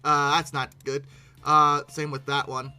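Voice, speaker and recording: A YouTube host giving casual, humorous reaction commentary in a halting, bemused way, often repeating words. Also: medium pitch, level tone, bright, forceful tone, clean tone.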